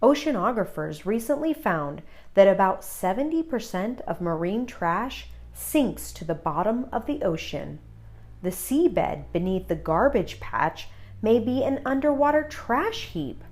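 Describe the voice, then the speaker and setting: A woman narrating a children's read-aloud in a deliberate, instructive manner. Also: fairly low pitch, darkish tone. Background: music behind the voice.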